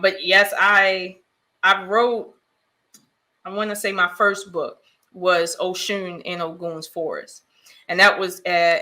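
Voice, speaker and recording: A middle-aged woman talks as a podcast guest, recounting how she became an author in a lively, laughing way. Her voice has a bright, forward ring to it. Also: fairly low pitch. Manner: animated, laughing, storytelling. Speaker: guest, children's book author. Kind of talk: casual podcast chat.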